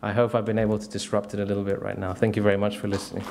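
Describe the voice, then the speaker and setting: A man's voice: fairly low pitch, flat delivery, rapid-fire, darkish tone, a faint crowd, slight background noise. A man from Cyprus delivering a conference speech in a casual way, with many fillers. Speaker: conference speaker, community media director. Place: conference hall.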